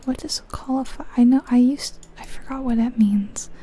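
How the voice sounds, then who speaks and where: A woman whispering breathily in an ASMR style, musing aloud in an unhurried, soft way as she comments on a game. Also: high voice, steady tone, husky. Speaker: ASMR video creator.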